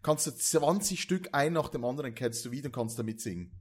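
A man's voice: medium pitch, expressive, fast talker, a little mellow, slightly rough. A man from Switzerland chatting casually as a podcast co-host, agreeable and often interjecting confirmations. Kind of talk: casual chat.

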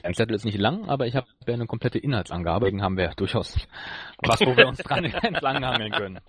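A man co-hosting a podcast in casual conversation, recounting things in a hesitant, halting way. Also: medium pitch, lively intonation, fairly bright tone, slight background noise. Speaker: podcast co-host. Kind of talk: casual chat reviewing an audio drama.

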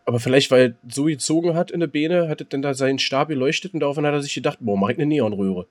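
A man's voice: on the high side, fast talker, darkish tone. A man co-hosting a podcast, chatting casually in a joking, slangy way. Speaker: podcast co-host. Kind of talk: casual comedic podcast chat.

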